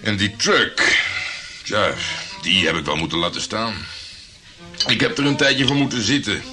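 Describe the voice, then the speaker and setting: An actor playing a tough, boastful private detective in a Dutch radio play, swaggering and swearing in colloquial speech. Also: male voice, on the low side, measured pace, sharp tone, faint music behind.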